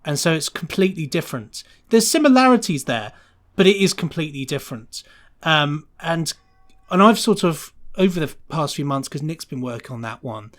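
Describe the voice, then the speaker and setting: A man's voice: a little high, sing-song, moderate pace, a bit bright. Male podcast guest, casual and enthusiastic, effusive, rambling conversational flow. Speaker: podcast guest, sound designer.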